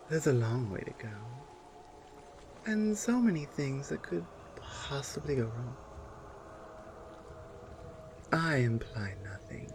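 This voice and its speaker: A woman playing a proud, stern Amazonian warrior in an ASMR audio roleplay, speaking to a prisoner in a measured, commanding way with deliberate pauses. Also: low-pitched, slight background noise, heavily acted. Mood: stern, warning.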